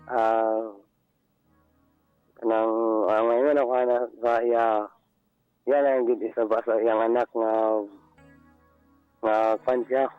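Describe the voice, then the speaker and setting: A man's voice: mid-range voice, little pitch movement, clear, resonant tone, no room sound. A male caller chats on a dull, dry phone line with faint music behind him. His Cebuano Filipino accent comes through as he talks casually, stopping and repeating words. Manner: halting, repeating himself. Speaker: radio show caller. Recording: dull lo-fi phone call on radio.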